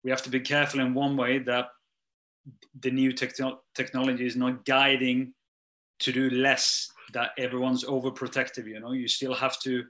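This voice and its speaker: A man's voice: mid-range voice, monotone, moderate pace, bright, forceful tone. A middle-aged man who speaks English as a second language, answering interview questions with many restarts and fillers, his voice trailing off at the ends of sentences. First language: not English. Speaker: strength and conditioning coach.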